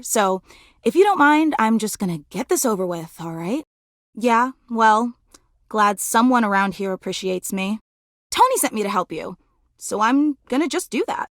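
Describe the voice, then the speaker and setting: A woman's voice: on the high side, dry recording, clean studio sound. A woman playing a sulky, aloof young woman in a video game, dismissive and feigning indifference. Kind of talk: video game character voice acting.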